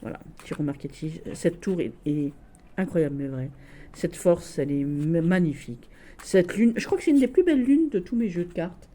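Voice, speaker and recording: A woman with a Lorraine accent, showing tarot decks in a casual video, gushing and halting with many restarts. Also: lowish voice, animated, mellow. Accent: Lorraine French. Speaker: tarot deck reviewer. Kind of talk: casual tarot deck showcase.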